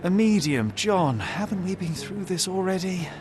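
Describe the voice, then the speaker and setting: An actor playing a young, logical detective in a video game, dry, precise and dismissive. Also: male voice, high-pitched, lively intonation, normal speed, over music. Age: young adult. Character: young Sherlock Holmes, cool, logical, dry. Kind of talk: video game cutscene voice acting.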